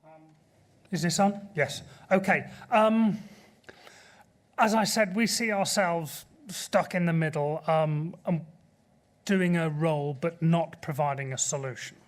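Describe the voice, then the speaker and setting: A middle-aged man answering at a conference panel, earnest and measured as he presses his point, speaking into a table microphone in a large auditorium. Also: fairly high pitch. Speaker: panelist from a sea rescue group.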